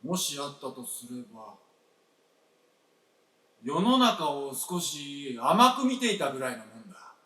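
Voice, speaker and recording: A brooding husband, highish bright voice. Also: male voice, big pitch swings, measured pace, long pauses, exaggerated voice. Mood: probing. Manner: terse, old-fashioned masculine speech. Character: self-doubting, brooding husband, blunt and resigned. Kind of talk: staged radio drama acting. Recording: echoey stage, distant mic.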